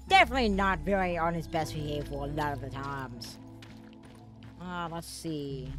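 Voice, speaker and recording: A woman giving let's play commentary in a rambling, filler-heavy way. Her voice often cracks, and she jumps into loud startled bursts. Game music plays clearly behind her. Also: low voice. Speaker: gaming streamer.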